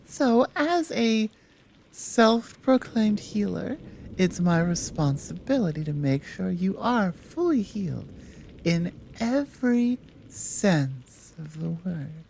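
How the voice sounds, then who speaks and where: A woman voicing a gentle, teasing Amazon healer in a soft, intimate ASMR roleplay, speaking in a soothing, coy, deliberate way. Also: fairly low pitch, slight background noise.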